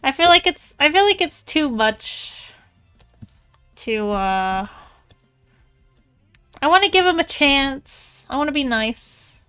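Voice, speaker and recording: A woman artist chatting casually while she draws, thinking out loud in a rambling, tentative way. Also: sits high, sing-song, slow pace, pauses often. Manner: rambling, musing aloud, tentative. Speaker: artist streamer. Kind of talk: casual chat while drawing. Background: music.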